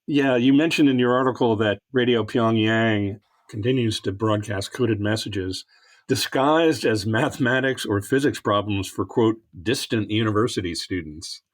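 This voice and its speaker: A man's voice: lowish voice, normal speed. A man hosting an interview podcast, asking questions with friendly, dry asides. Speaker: podcast host.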